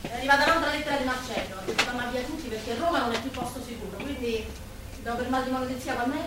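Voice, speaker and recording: Woman acting excitable roman housewife, radio play, really high voice, chatty, echoey hall. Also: very fast, natural voice. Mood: bossy, chatty. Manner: chatty, exclamatory. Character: bustling, excitable working-class Roman housewife in wartime. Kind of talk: live radio theatre acting. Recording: echoey hall, far off, crowd noise.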